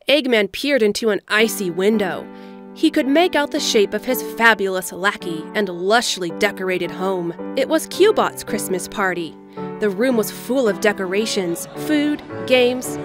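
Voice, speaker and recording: A woman reading scripted storybook narration as the narrator of an audio drama. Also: medium pitch. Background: music.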